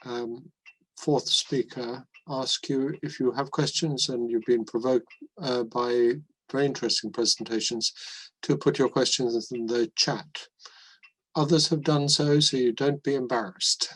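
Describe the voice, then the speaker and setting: A man chairing a webinar over a video call, thanking speakers and introducing the next one with polite, gently humorous remarks. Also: medium pitch, rough recording. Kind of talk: chairing a panel.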